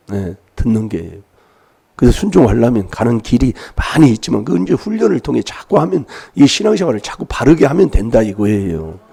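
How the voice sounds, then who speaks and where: A man's voice: medium pitch, vocal fry, warm tone, breathy edge. A man preaching an emphatic sermon in a church, prodding his listeners with rhetorical questions while he has a cold. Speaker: pastor.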